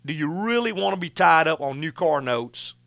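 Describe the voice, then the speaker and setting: A man's voice: on the high side, animated, moderate pace, gravelly, fairly bright tone. A man, hosting a call-in car advice show in a folksy, plain-spoken way. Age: 60s. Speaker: radio show host and auto repair shop owner.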